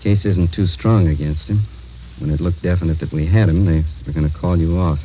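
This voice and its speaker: A muffled old radio drama with faint noise carries an American actor as a police captain. His very deep voice is steady and businesslike, giving orders and facts with plain authority. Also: a little fast, lightly acted. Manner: matter-of-fact, authoritative. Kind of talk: 1950 radio drama acting.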